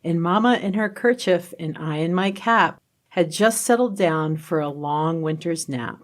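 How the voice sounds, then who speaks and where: A woman co-hosting a library podcast, chatting and then reciting a holiday poem in an expressive, lively way. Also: fairly low pitch, a little slow. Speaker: podcast co-host. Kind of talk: podcast chat and dramatic poem reading.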